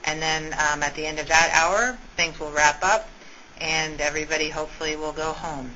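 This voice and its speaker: A woman's voice: sits low, fairly even intonation, moderate pace, bright, forceful tone. A woman giving an informal, methodical step-by-step briefing over a conference call. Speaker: school meetings organizer briefing a conference call. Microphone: telephone. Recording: muffled conference phone line, faint noise.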